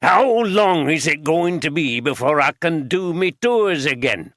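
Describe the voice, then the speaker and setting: A man voicing a blunt, gruff brewery guide in his sixties with a broad Yorkshire accent. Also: fairly high pitch, expressive, measured pace, fairly bright tone, no room sound, studio quality, pitched above the performer's own voice. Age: 60s.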